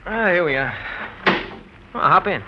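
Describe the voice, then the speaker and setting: A brash, smooth-talking American gambler from a 1940s radio drama. He cajoles and needles in slangy phrases, his pitch jumping around freely. The sound is muffled, lo-fi vintage radio. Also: big pitch swings, measured pace, noisy background. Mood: coaxing. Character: smooth-talking, brash male professional gambler, pushy charmer. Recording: dull, lo-fi old radio recording.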